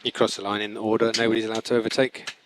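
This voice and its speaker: Brief: male race engineer on headset radio, low-ish voice, brisk and procedural, faint noise behind. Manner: calm, procedural, repeats key words. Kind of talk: team radio instructions.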